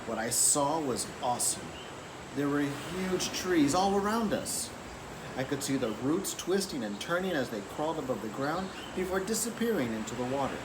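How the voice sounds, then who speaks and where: A man reading a children's picture book aloud in an expressive, deliberate storytelling manner. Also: fairly high pitch. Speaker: story reader. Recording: clearly noisy background.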